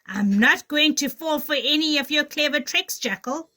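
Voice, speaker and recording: A woman's voice: high-pitched, expressive, normal speed, pushed and bright, voice pushed up. A woman voicing a ferocious male lion villain in a children's story, menacing and gloating.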